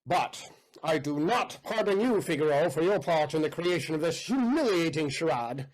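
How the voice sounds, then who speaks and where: A man's voice: a little high, lively intonation, moderate pace, poor audio. An actor playing a proud, commanding nobleman in a staged audio play, speaking formally and singing a ballad. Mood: stern. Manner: formal, stately delivery, sings a ballad.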